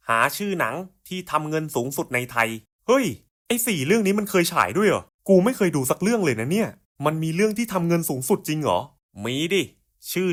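A man's voice: fairly high pitch, a bit bright. A man voicing scripted cartoon puzzle skits in casual, slangy, coarse buddy-style banter.

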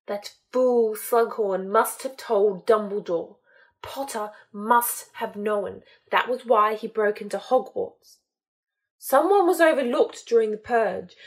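Woman voicing a cold, menacing dark lord. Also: on the high side. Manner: slow, cold and threatening.

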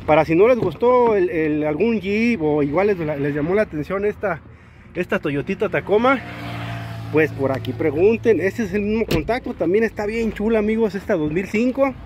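A Mexican man with a fairly high voice walks through an outdoor used-car market, hyping each vehicle in a lively, slangy sales patter. He is recorded on a handheld camera, with clear outdoor street noise behind him. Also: moderate pace. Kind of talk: walk-around car showcase.

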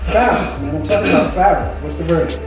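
An actor reading a pushy, excitable American man at a stage play table read. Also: fairly high pitch, fast talker, natural voice. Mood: pressing, teasing. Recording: very echoey room from far off, music over.

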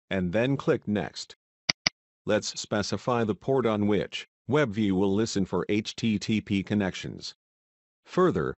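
A man reading a scripted instructional narration in a measured, step-by-step manner, as a software tutorial voice-over. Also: on the low side, no echo.

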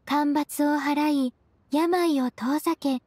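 Game role: gentle, dutiful young female secretary, very high voice, dry recording, courteous formal delivery. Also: fairly even intonation, takes it slowly, dry recording. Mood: reciting. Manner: soft-spoken, formal, courteous. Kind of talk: Japanese game voice acting. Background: music.

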